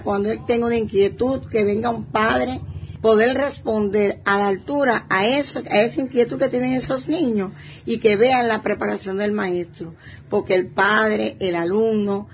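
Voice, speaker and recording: An older woman from Santiago de Cuba province with an eastern Cuban accent, a retired teacher giving a personal testimony. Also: medium pitch. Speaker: retired primary school teacher, interviewee. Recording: muffled, faint background noise.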